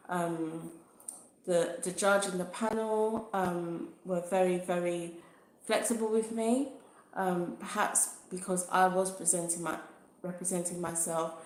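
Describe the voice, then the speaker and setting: A woman vlogger talking earnestly and thoughtfully about her own experience, in an unhurried way. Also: lowish voice, darkish tone, slight background noise. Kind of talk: personal experience vlog. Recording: echoey room.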